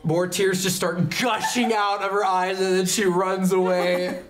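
A man in his twenties improvising story narration as a game master, descriptive and halting. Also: high-pitched, little pitch movement, soft background music. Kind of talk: improvised tabletop roleplay narration. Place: indoor studio set.

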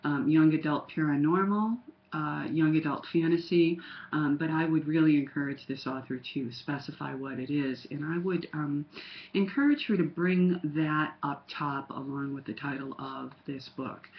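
A woman's voice: sits low, moderate pace. An older woman with a slightly nasal voice from a cold, chatting casually as a writing coach with many ums, recorded on a webcam in a home study. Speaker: writing coach critiquing query letters. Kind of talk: casual webcam critique, reading a letter aloud. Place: home study with bookshelves, indoors. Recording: dull lo-fi webcam audio.

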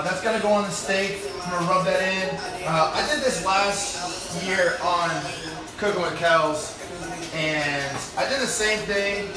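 Young man, laid-back home cook host, casual rambling chat, jokey, distant from the mic, strongly reverberant room. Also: high voice. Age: young adult. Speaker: cooking show host, home chef. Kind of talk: casual chat while cooking. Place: home apartment kitchen. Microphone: phone on counter, a few steps away. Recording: roomy, echoey phone recording from far off.

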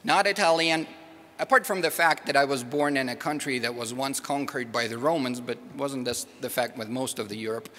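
A middle-aged man from Moldova with a Russian accent, a native Russian speaker, giving an animated, jokey conference presentation at a podium mic in a large ballroom. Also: mid-range voice, fairly quick, slight background noise. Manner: animated, jokey, rising loud on rhetorical questions. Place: large hotel ballroom with audience. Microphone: podium mic, filmed by far camera. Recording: echoey ballroom with long reverb.

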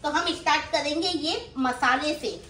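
A woman's voice: high-pitched, measured pace, a bit bright. A middle-aged woman with a Mumbai accent chatting casually as a vlogger, explaining things and checking in with little questions. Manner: explanatory, tags phrases with questions. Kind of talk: casual grocery haul chat. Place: small room indoors, seated on floor. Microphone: phone held by someone nearby. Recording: roomy echo, faint background noise.

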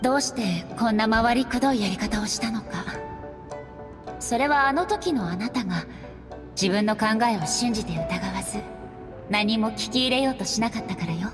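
A kindly, gentle goddess from a video game, acted by a woman, high in voice. She talks with affection and a soft feminine grace, with music underneath. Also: expressive, moderate pace, big-room sound, lightly acted. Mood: tender. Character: gentle, kindly late goddess, loving elder sister. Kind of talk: dramatic game voice acting.

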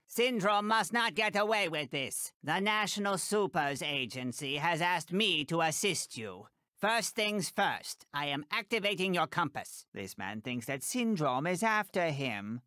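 A tiny bossy older woman designer, snobbish, theatrical, swooping lively pitch, bright sharp voice, dry close sound. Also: dry recording. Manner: clipped, theatrical, bossy, calls everyone darling. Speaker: mission giver. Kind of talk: video game voice lines.

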